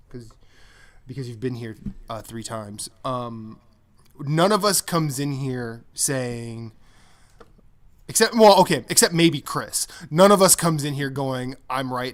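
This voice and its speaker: A man's voice: medium pitch, fairly bright tone. A man co-hosting a casual gaming podcast, rambling through his points with frequent restarts and laughter. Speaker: podcast co-host. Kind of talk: casual chat.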